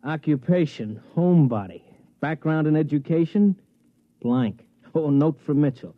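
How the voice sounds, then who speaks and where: An actor playing an excitable male sidekick in a swindle in a 1950s radio drama, talking in eager, jumpy slang. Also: a little high, unhurried, dry recording. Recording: dry, dull vintage radio recording.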